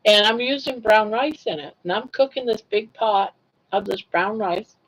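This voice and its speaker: A woman's voice: medium pitch, normal speed, husky, rough recording. Chatty older woman laughing through stories. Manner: chatty, laughs often, reads chat comments aloud. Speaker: livestream host. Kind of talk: casual livestream storytelling.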